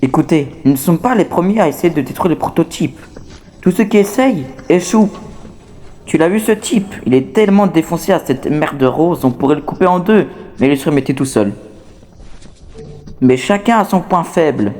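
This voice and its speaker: A man's voice: a little high, lively intonation, moderate pace, warm tone, slight background noise, lightly acted. A man voicing a scrappy, talkative toy outcast, wary but scheming, in a fan dub of a horror game. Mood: conspiratorial. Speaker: amateur voice actor dubbing a game character.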